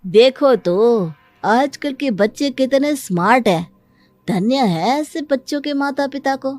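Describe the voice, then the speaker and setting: A woman's voice: sits high, animated, measured pace, darkish tone, no echo. A woman playing a courteous, emphatic working mother, acted as dialogue in a cartoon story. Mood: admiring. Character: polite, admiring working mother, a family acquaintance. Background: music.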